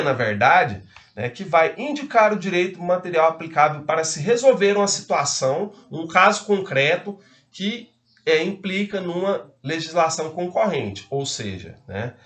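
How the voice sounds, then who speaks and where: A man's voice: medium pitch, a bit bright. A man giving a casual law lecture, hesitant and full of fillers and tag questions.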